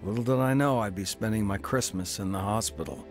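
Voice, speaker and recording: A man's voice: lowish voice, brisk, loud music behind the voice, natural voice. An actor playing a down-on-his-luck man who narrates his own story in a radio drama. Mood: reflective.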